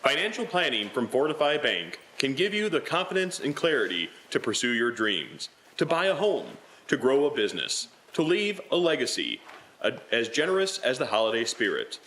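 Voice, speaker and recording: A man's voice: mid-range voice, very animated, moderate pace, sharp tone, poor audio. A man reading a scripted radio commercial as an announcer. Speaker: advertisement announcer.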